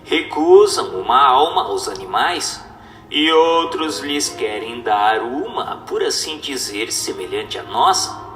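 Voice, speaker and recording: A man giving a dramatized, oratorical reading of a scripted text in an emphatic, didactic manner. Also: mid-range voice. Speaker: narrator reading a spiritist text. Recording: echoey hall reverb with music. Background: music.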